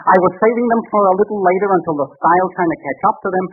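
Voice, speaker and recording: Tinny vintage radio sound, with a pushy store salesman in a comedy. His New patter is quick, slangy and flattering, in a high, rough-edged voice. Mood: insistent. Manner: slangy, wheedling, insistent patter. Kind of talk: 1930s radio comedy acting.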